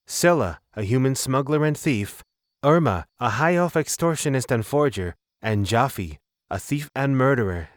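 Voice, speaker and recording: A man's voice: medium pitch, no echo. Dry-recorded male story narrator.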